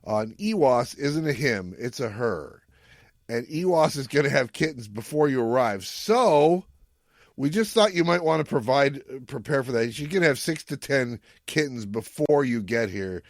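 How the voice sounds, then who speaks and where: A man's voice: medium pitch, expressive, moderate pace, no room sound. Man hosting a casual podcast, dry close sound. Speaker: podcast host. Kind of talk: casual chat recapping and reviewing an audio story.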